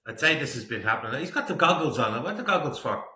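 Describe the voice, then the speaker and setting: Middle-aged guy doing opinionated reaction commentary, bright forceful voice, roomy echo. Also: mid-range voice, big pitch swings, fast talker. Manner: opinionated, disapproving. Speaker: reaction video host. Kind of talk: casual off-the-cuff commentary. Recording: roomy, echoey sound.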